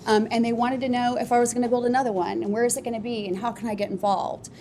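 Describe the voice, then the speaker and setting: A woman founder on a live podcast panel, telling her business story at length in a relaxed, rambling way with many fillers. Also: a little high, fast, slight background noise. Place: office podcast studio with live audience.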